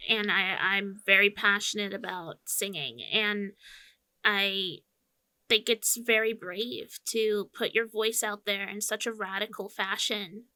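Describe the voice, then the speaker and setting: A woman's voice: mid-range voice, steady tone, takes it slowly, bright, forceful tone, dry recording. A woman cohosting a podcast, talking thoughtfully and stumbling a little at the starts of phrases. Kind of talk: casual chat.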